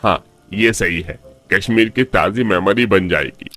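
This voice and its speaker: A man's voice: lowish voice, a little slow, a bit bright. A man playing a friendly young tourist blogger, presenting and recommending to viewers in a moral story. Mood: friendly, promotional.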